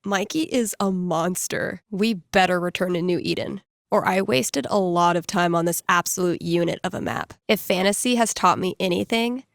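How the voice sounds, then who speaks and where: A woman's voice: fairly low pitch, normal speed, gravelly, hardly any pauses, no echo, studio quality. An actress playing a wry, slangy young woman who DJs and runs tabletop games, in a video game. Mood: wry, casual. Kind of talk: video game character inner monologue.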